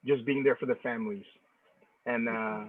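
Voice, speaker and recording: A man's voice: mid-range voice, fairly even intonation, normal speed, no echo. A man born in the Philippines, a guest in a casual video call chat, who talks in many restarts and repeated words. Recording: tinny phone-like dry sound.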